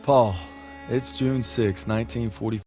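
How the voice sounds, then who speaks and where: An actor playing an earnest young American GI in a war game, narrating reflectively with a wry edge in an American accent. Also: male voice, mid-range voice, steady tone, measured pace, over loud music, natural voice. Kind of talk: reflective scripted voice-over narration.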